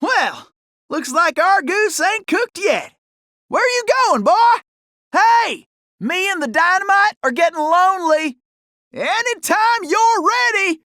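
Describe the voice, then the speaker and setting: A man's voice: very high-pitched, expressive, takes it slowly, pushed and bright, dry recording, clean studio sound, heavily acted. A man voicing a folksy, wisecracking cowboy gunslinger animal in a video game, with a Southern Old West drawl and colorful frontier slang. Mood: impatient, upbeat. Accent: American Old West Southern drawl. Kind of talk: video game character acting.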